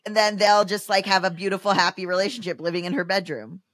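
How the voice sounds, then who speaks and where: A woman's voice: medium pitch, steady tone, fast, fairly bright tone, no echo. A woman co-hosting a podcast, chatting in a casual, comedic way and animatedly acting out what people would say. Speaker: podcast co-host.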